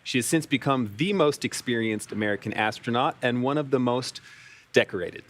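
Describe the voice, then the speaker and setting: A man co-hosting live mission coverage, reading scripted crew biographies in an even delivery. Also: mid-range voice, fairly quick. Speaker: broadcast co-host.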